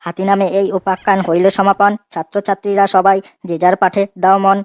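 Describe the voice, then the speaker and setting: A woman's voice: medium pitch, level tone, fairly quick, no room sound, highs cut off, close to an everyday voice. A woman voicing a cheeky, eager schoolboy who speaks hesitantly in a comedy classroom cartoon. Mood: earnest reciting. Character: cheeky schoolboy reciting silly verse and answers in class. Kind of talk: comedic cartoon dialogue, singsong recitation.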